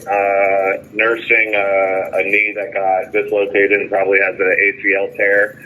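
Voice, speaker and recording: A man calling in by phone with a halting, aggrieved, sardonic account in casual speech, as a jailed caller giving an update. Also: fairly low pitch, sharp tone. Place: jail phone call. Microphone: telephone. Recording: tinny noisy phone line.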